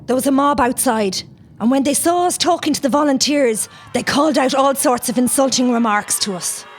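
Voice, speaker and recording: A woman's voice: high voice, moderate pace, slight background noise. A woman playing a determined young rebel, narrating her first-person account in an audio play. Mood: composed.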